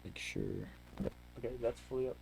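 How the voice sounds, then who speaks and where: A man's voice: mid-range voice, completely flat delivery, normal speed, gravelly, a little hiss or hum, low-quality recording. A man hosting a casual reaction video, rambling and interrupting himself as he goes off on tangents.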